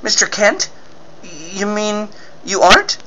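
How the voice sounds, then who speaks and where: A man's voice: sits high, very animated, takes it slowly, lo-fi sound, very noisy, pitched above the performer's own voice, exaggerated voice. A man voicing an earnest, pleading boy cub reporter in the manner of a 1940s radio serial. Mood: anxious hope. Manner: gushing, pleading exclamations.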